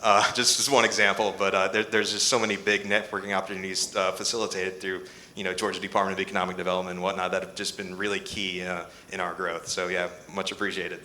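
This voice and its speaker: A man's voice: sits low, level tone, fast talker, sharp tone, a little hiss or hum. A man, founder of a small company, answering enthusiastically on a conference panel with laughs between phrases.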